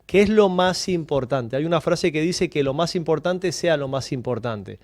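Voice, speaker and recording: A man's voice: a little high, lively intonation, moderate pace, a little mellow, no room sound, clean studio sound. A Spanish-born man on a radio talk panel, explaining time management through stories and questions. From Spain. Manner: explanatory, storytelling, builds points with questions. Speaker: guest coach on time management. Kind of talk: radio talk-show discussion.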